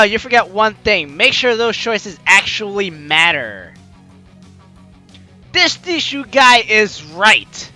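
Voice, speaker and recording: A man doing casual let's play commentary, reading game text aloud and adding teasing, sarcastic asides. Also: sits high. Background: soft game music.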